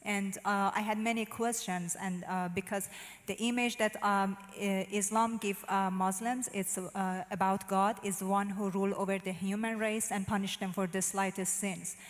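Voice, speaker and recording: A woman's voice: mid-range voice, steady tone, moderate pace. A woman in her thirties from Iran, a native Farsi speaker with a Persian accent, giving a hesitant personal testimony with many fillers into a handheld microphone on a church stage. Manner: hesitant, frequent "uh" fillers, non-native phrasing. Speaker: interview guest giving testimony. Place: stage in a large church, indoors. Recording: long reverb of a large hall.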